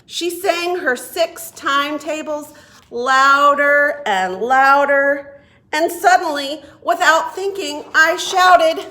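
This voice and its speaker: A woman reading a picture book aloud to young children as a children's librarian, expressive and deliberate. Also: sits high. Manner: expressive, deliberate, asks listeners questions. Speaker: children's librarian and storytime reader.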